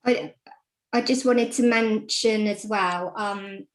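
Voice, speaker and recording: A woman's voice: mid-range voice, slow pace. A woman giving a matter-of-fact funding update on a video call. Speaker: funding officer. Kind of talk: update in an online meeting.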